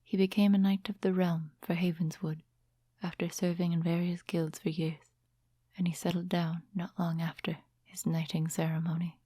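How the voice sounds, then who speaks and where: A woman acts out a composed, mysterious witch in an ASMR fantasy roleplay. Her voice is low and breathy, held very even in pitch. She speaks softly and without hurry, in courteous, old-fashioned storybook phrasing. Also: no room sound, studio quality. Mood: wistful, reflective. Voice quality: breathy, whispery soft voice. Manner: unhurried, gentle, formal storybook phrasing. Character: soft-spoken female witch, composed, courteous, quietly mysterious night owl. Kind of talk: soft-spoken ASMR roleplay.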